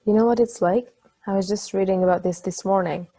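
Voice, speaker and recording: A woman's voice: mid-range voice, steady tone, a little fast, natural voice, poor audio. A woman acting an affectionate, brainy, playful partner in a casual, conversational table-read style. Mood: explaining. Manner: casual, conversational, gently teasing.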